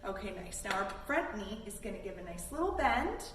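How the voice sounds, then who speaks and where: A woman's voice: medium pitch, big pitch swings, fairly quick, fairly bright tone. A woman leading a children's yoga storytime in an encouraging, instructive way with simple phrasing. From Canada. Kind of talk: guided kids' yoga class with storytime. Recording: roomy, distant mic with faint noise.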